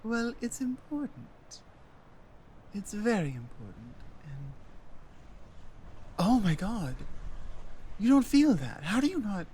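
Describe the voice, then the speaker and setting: A woman voicing a strong, smitten female adventuring healer in an intimate fantasy ASMR roleplay, speaking haltingly with breathy, sultry asides. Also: mid-range voice, very animated, mellow, slight background noise, voice pushed up. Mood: alarmed concern.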